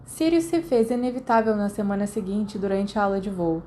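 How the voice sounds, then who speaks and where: A woman's voice: mid-range voice, animated, a little fast, mellow, slight background noise. A woman with a Brazilian accent reading scripted audiobook narration. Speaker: podfic narrator.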